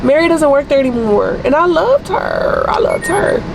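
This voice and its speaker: A young woman vlogging casually to camera, chatty and rambling, her voice deeper than usual after losing it, with air conditioning humming around her. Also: huge pitch swings, moderate pace, gravelly. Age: young adult. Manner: chatty, rambling, says y'all a lot. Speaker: vlogger, nursing student. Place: coffee shop and car. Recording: noisy background with air conditioning.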